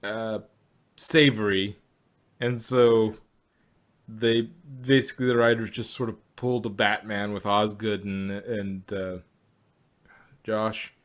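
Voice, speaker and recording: A man's voice: on the low side, flat delivery, slow, pauses often. A man co-hosting a casual review podcast, rambling with plenty of fillers and wry asides. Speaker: podcast co-host.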